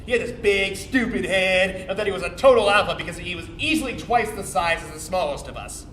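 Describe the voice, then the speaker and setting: A man acting a cocky, bitter little sperm cell with a high, bright voice. He talks like a swearing young bro, slangy and dramatic, confiding a stage monologue. The sound is roomy and distant, as from a theatre stage. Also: animated, brisk. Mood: resentful. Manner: foul-mouthed, slangy, defensive, dramatic. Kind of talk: theatrical comic monologue, confessional storytelling to audience. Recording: roomy, distant stage recording with faint noise.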